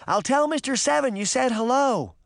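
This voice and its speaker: A man's voice: sits high, very animated, normal speed, a bit bright, no room sound, studio quality, voice pushed up, full character voice. A man voicing a spirited, stubborn little girl in a storybook, childlike and defiant. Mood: cheerful.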